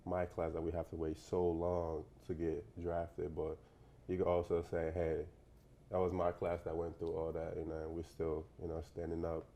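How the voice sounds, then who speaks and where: A young man from Nigeria, a guest in a casual interview, talking in a relaxed, rambling way with lots of fillers and "you know". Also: sits low, a little hiss or hum. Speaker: professional basketball player, guest.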